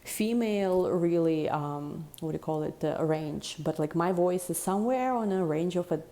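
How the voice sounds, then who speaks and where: A woman giving a casual tarot reading in a deep, chesty voice, rambling and reflective with many fillers. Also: moderate pace, dark tone. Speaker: tarot reader.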